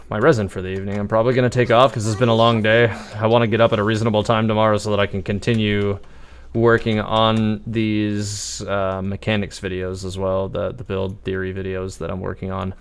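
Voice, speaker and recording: A man with a fairly low voice streams a video game live. He talks casually and rambles along, thinking out loud as he plays. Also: steady tone, moderate pace, clean tone. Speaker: game livestreamer. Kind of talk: casual live gameplay commentary.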